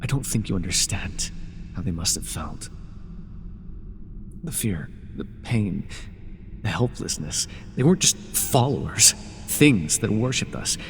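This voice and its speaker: A man playing a gravelly, wounded fallen god. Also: lowish voice, expressive, measured pace, long pauses. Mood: anguished. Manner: earnest, wounded, reproachful. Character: grieving, devoted fallen god in mortal form. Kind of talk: dramatic fantasy audio roleplay. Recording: reverberant hall effect, faint noise.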